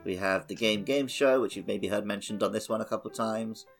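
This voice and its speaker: Male podcast co-host, lowish voice, informal chatty gaming talk, faint music underneath. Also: fairly quick. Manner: informal, colloquial. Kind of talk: casual gaming podcast chat.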